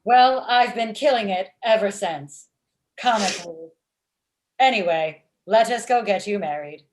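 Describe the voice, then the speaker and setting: A woman playing a blunt female matchmaking robot with formal, deadpan phrasing in a comedy table read over video call. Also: mid-range voice, measured pace, close to an everyday voice. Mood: matter-of-fact. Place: indoor room at home.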